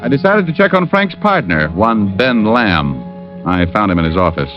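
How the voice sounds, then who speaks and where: A man's voice: on the low side, sing-song, normal speed. A man playing a sardonic, dogged private detective who narrates his own case and wisecracks in 1940s radio style. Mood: narrating. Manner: wisecracking, hard-boiled, sardonic. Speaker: lead detective and first-person narrator. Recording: dull old radio recording with music.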